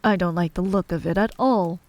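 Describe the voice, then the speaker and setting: A woman's voice: fairly low pitch, fairly quick, a little mellow, dry recording, lightly acted. A woman voicing a pompous, fussy, imperious king in a storybook tale, with a haughty, emphatic manner. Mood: haughty disdain.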